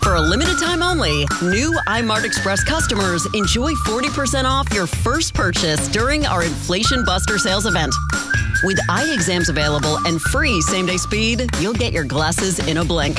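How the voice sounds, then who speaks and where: Lively female ad voice over music. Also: mid-range voice. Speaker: ad announcer. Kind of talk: retail commercial read.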